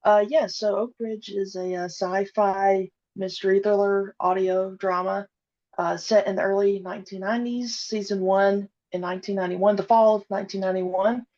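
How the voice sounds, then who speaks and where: A woman guest talking casually at a middle pitch, pausing often on "uh" and "um." Her voice comes through a slightly dull, lo-fi line. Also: fairly even intonation, mellow. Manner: hesitant, many "uh" and "um" fillers. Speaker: podcast guest, audio drama creator. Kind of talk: casual interview chat.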